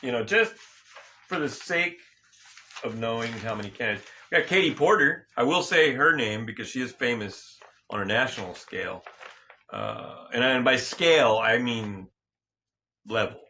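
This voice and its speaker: A man hosting a casual sports talk show, slightly hoarse, rambling and joking with frequent restarts and self-corrections. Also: medium pitch, expressive, normal speed, sharp tone, a little hiss or hum. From California. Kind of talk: casual solo sports and politics chat.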